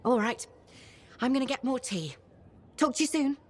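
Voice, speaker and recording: A woman's voice: high voice, expressive, normal speed, a little hiss or hum. An actress playing a witty, curious woman scholar.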